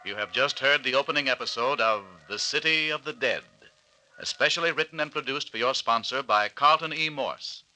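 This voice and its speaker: A man narrating an old-time radio serial as announcer, with dramatic, portentous, deliberate phrasing. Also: mid-range voice. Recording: old radio recording with faint hiss.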